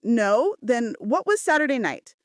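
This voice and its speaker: A woman's voice: a little high, very animated, measured pace, no room sound, clean studio sound, voice pushed up. A woman playing a defiant, loyal female assistant in emphatic, outspoken romance audiobook dialogue. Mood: angry, defiant.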